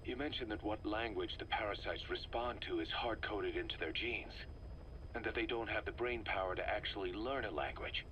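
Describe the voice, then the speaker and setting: An actor playing a hard, bitter military interrogator in a video game, curt and distrustful. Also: male voice, lowish voice, moderate pace, sharp tone, lo-fi sound. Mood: distrustful, challenging. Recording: noisy cassette tape recording.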